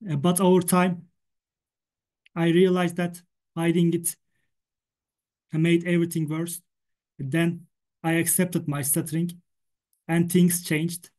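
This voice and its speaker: A man's voice: high voice, darkish tone. A man from Turkey with a Turkish accent, a native Turkish speaker, giving a prepared talk in English for a stuttering association while he stutters, repeating words and sounds. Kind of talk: prepared presentation.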